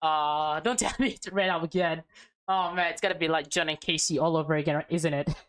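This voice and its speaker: A woman's voice: sits low, fairly even intonation, a little fast, fairly bright tone. A woman reading a visual novel aloud as a let's player, informally, with casual asides and stumbles. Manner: informal, stumbles over words and comments on the story. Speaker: let's play host. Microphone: close mic. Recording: background music under the voice.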